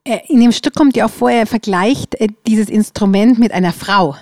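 A woman's voice: mid-range voice, moderate pace, mellow, dry recording, studio quality. A woman interviewing in a conversational, informal way, describing things as she searches for her words.

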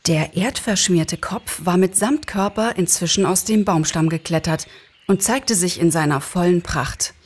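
Casting: female storyteller, lowish pitch, expressive scripted narration. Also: measured pace, husky. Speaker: narrator, actress.

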